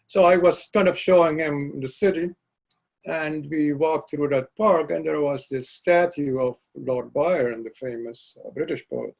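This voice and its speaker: An older man whose first language is Dutch, a poet being interviewed, speaking reflectively and pausing often to search for words. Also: a little high, creaky. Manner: halting, searching for words, frequent restarts. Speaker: poet guest.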